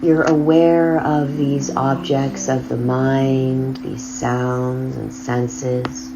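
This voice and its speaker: A woman guiding a body scan meditation, speaking softly with long, drawn-out pauses. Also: extremely low pitch, flat delivery, background noise. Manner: slow, soft, drawn-out phrasing with long pauses. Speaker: meditation guide. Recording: slightly dull lo-fi sound over loud singing bowl music. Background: crystal singing bowls and flowing river.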